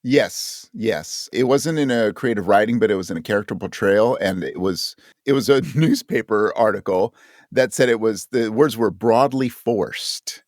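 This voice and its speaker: A man's voice: on the low side, moderate pace, no room sound, studio quality. A man talking as a guest in a casual interview, reflective and self-deprecating. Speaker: podcast guest, actor and audio drama writer.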